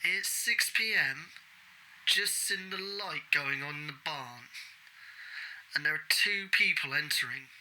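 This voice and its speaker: A man's voice: on the high side, animated, a little slow, pushed and bright, full character voice. An actor in an audio drama playing a nervous man muttering secret observations into a handheld recorder. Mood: furtive, tense. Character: nervous amateur snoop recording his secret stakeout. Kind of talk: audio drama, spoken field notes. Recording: noisy outdoor field recorder.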